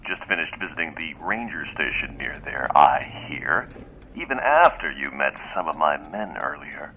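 A shadowy, courteous male caller in an audio drama, with a very low voice. He speaks in measured, formal phrases that carry a veiled threat, over a noisy line. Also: expressive, dull audio. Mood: cool menace. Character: menacing, smooth-talking male mystery caller, polite but threatening.

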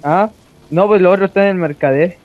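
A man's voice: high voice, brisk, narrow, tinny audio, a crowd in the background. A young man with a Chilean accent chatting casually on voice chat during an online game. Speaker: gamer on voice chat.

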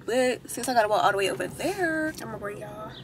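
A woman's voice: medium pitch, lively intonation, quick pace, noisy background. A young woman vlogging to a handheld camera, talking casually and slangily to her viewers. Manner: chatty, slangy, addresses viewers as y'all. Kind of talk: casual vlog chat to camera. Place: parked car and indoors at home. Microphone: handheld camera at arm's length.

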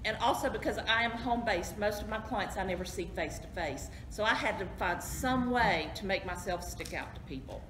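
A woman's voice: medium pitch, brisk, few pauses, a bit bright. A middle-aged woman, a travel agent, giving a friendly, self-deprecating talk to an audience in a hotel meeting room. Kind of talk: informal presentation to an audience. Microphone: far camera, no mic. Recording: echoey room, noisy.

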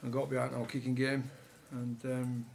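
A man, a rugby coach answering questions at a post-match press conference with relaxed, halting answers full of fillers. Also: medium pitch, vocal fry, background noise. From England. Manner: relaxed, many fillers and restarts. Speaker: rugby league head coach. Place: press room with sponsor board behind.

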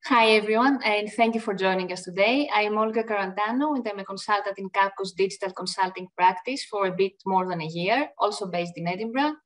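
A woman's voice: medium pitch, a little fast, hardly any pauses, fairly bright tone, recorded from a distance. A young woman from Greece with a Greek accent, introducing herself as a consultant on a webinar. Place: home office, video call.

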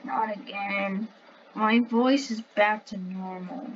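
A young woman playing a game with a friend, dramatic and hesitant in playful roleplay chat. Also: medium pitch. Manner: dramatic pleading, slow and hesitant. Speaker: gamer friend. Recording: slightly dull home mic with faint noise.

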